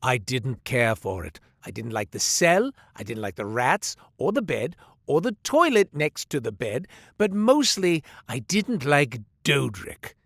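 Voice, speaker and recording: A man's voice: mid-range voice, big pitch swings, unhurried, hardly any pauses, a bit bright, no room sound, clean studio sound. A man doing a theatrical rambling merchant. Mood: chatty. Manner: theatrical, rambling storyteller with comic asides. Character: chatty eccentric male merchant telling tall tales. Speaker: game character merchant. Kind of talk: scripted game voice lines.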